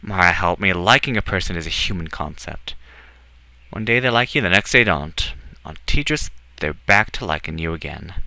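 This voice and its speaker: Man voicing a sardonic, bright-voiced haughty male elf. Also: deep voice, animated, normal speed, vocal fry, no room sound, exaggerated voice. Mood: sardonic, amused. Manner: sardonic, theatrical, teasing. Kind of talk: audiobook character acting.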